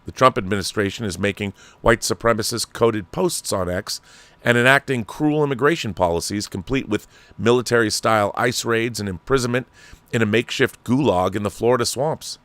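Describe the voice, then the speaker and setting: A man with an American accent reads an article aloud as a talk show host, phrasing deliberately and leaning on each item in a list. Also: fairly low pitch, fairly bright tone, no room sound. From Washington state, United States. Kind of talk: reading an article aloud with asides.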